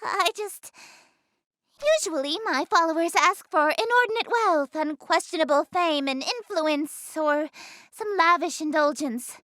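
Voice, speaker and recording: A woman's voice: extremely high pitch, unhurried, sharp tone, no echo, full character voice. A woman voicing a haughty, easily flustered eldritch goddess in an anime-style game, speaking in a theatrical, formal, imperious way. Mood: flustered, shy. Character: haughty, easily flustered regal eldritch goddess, cute young-sounding female. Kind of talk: anime-style visual novel game voice acting.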